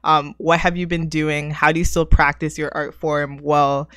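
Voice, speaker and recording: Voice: mid-range voice, level tone, moderate pace, a bit bright, no room sound. An informal podcast host with a mid-range voice chats with guests in a casual, slangy style. The sound is close and dry, with very little room around it. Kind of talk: casual interview.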